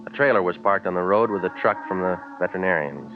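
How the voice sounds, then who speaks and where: An actor playing a cynical, wisecracking American private eye, deadpan and weary, in 1940s radio noir style. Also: male voice, deep voice, fast, creaky, narrow, tinny audio, over music. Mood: wry, world-weary. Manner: deadpan, sardonic. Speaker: lead investigator and narrator. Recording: thin, band-limited old radio recording.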